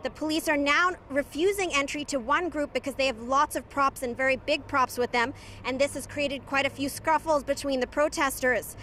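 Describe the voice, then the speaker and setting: A woman's voice: high-pitched, normal speed, pushed and bright. A woman delivering a news report in a scripted, on-the-scene style, as a reporter. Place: outdoors on a busy street at a protest. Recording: clear street noise behind the voice.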